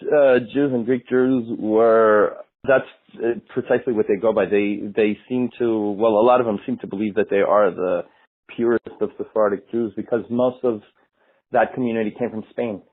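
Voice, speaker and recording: A man's voice: lowish voice, fairly even intonation, normal speed. A man, a rabbi explaining things thoughtfully in a friendly chat. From United States. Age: 50s. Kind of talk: casual conversation. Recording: phone-like tinny line.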